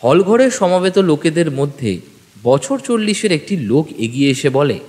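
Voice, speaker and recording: A man's voice: fairly high pitch, sing-song, moderate pace, a little mellow, in a large hall. A man narrating a scripted detective story in the first person, in a dramatic storytelling style.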